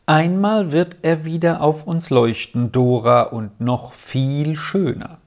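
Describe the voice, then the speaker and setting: A quiet storybook father and army major, soothing and resigned, as a narrator gives him voice. He speaks slowly and gently, only a little above the reader's own pitch. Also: gravelly. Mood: tender.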